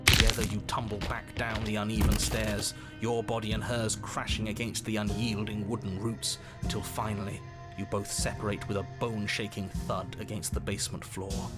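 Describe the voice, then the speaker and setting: An actor playing a wry, melodramatic narrator and bickering inner voices in a scripted game, theatrical and emphatic. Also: male voice, fairly low pitch, flat delivery, normal speed, natural voice. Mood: grave narration. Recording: loud music behind the voice. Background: loud game music.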